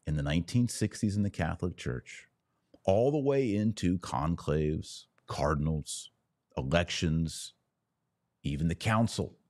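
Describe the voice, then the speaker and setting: A man hosting a podcast, giving opinionated religious commentary in an emphatic, declamatory manner with pauses between short phrases. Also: very deep voice, darkish tone, no echo, clean studio sound.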